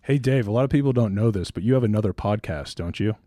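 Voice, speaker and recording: A man with a very low, mellow voice talks quickly as he plugs his podcast. He keeps to casual, tongue-in-cheek banter. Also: dry recording, clean studio sound. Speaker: podcast co-host.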